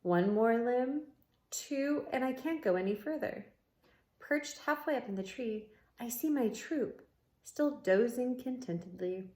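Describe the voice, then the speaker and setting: A woman reading a storybook aloud like a teacher, expressive and adding comments for young listeners. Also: fairly high pitch, unhurried. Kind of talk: read-aloud storybook narration with asides. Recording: roomy, echoing room sound.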